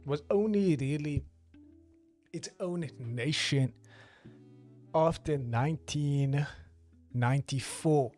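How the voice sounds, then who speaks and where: A man's voice: a little high, slow pace, long pauses, a little mellow, soft background music. A South African man in his twenties, chatting casually on a livestream in a joking, rambling way and talking straight to his chat. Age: 20s. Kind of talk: casual live stream chat.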